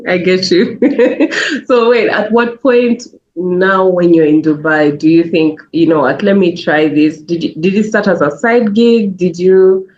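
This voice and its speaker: A woman's voice: lowish voice, darkish tone, muffled recording. A woman hosting an interview, asking questions in an encouraging way and laughing along. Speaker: interview host. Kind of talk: casual interview.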